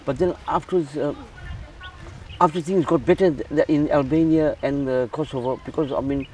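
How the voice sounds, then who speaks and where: A man's voice: fairly high pitch, steady tone, normal speed, darkish tone, recorded from a distance, a little hiss or hum, low-quality recording. A man from Zimbabwe who runs a charity, talking earnestly in a casual interview and often repeating words as he restarts. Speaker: charity founder.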